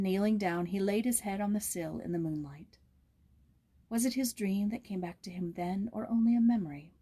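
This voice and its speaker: A woman narrating a storybook aloud in a gentle, measured, expressive way. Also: mid-range voice, breathy edge, no room sound.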